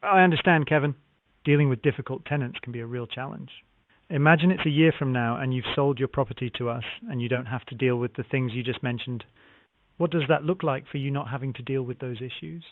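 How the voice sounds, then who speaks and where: A man handles a scripted property sales call at a brisk clip. He is courteous and formulaic, acknowledging before each question. The sound is a thin telephone line with very little room in it. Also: medium pitch, no echo. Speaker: real estate acquisitions phone agent. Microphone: phone call. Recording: narrow, tinny phone line.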